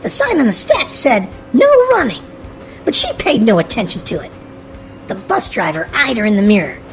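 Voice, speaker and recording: A woman reading a children's storybook aloud in an expressive, animated way. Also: mid-range voice, brisk, soft background music, rough recording. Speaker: storybook narrator.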